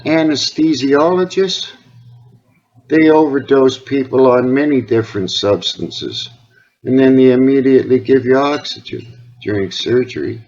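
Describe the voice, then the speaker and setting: A man's voice: mid-range voice, measured pace, a little mellow. Earnest Canadian man on a dull recording. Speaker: caller, health awareness advocate. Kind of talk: phone conversation. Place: phone call recording. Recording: dull lo-fi phone call recording.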